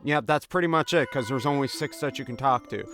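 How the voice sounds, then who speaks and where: A man's voice: mid-range voice, brisk, fairly bright tone. Casual male gamer over game music. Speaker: host of a game playthrough series. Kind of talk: casual let's play commentary. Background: video game music.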